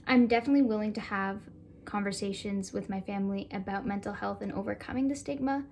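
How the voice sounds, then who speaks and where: A woman's voice: mid-range voice, fast, vocal fry, a little mellow. A seventeen-year-old girl from Canada giving composed, articulate interview answers. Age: teens. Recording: faint background noise.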